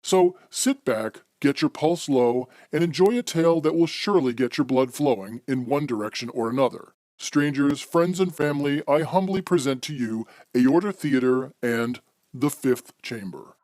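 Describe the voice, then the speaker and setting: A man's voice: medium pitch, lively intonation, moderate pace, darkish tone, dry recording. A man hosting an audio theater program, delivering a scripted, formal and theatrical introduction in the manner of old-time radio.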